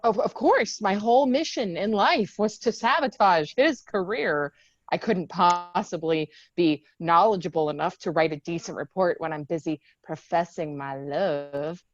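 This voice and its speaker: A woman's voice: fairly low pitch, measured pace, dry recording, lo-fi sound, close to an everyday voice. A woman acting a wounded, defiant, sarcastic Marine officer in a screenplay table read. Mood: bitter sarcasm. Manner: sarcastic, emotional.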